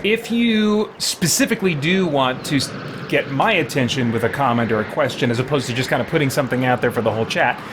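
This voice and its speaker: A man's voice: medium pitch, quick pace. Casual male game streamer over noisy ambience. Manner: informal, thinking aloud. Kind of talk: casual live-stream chat and gameplay commentary. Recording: clear background noise from game ambience.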